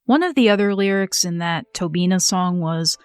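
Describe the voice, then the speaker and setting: A woman narrating a scripted video essay in an earnest, measured way. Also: fairly low pitch, clean tone, no echo, studio quality.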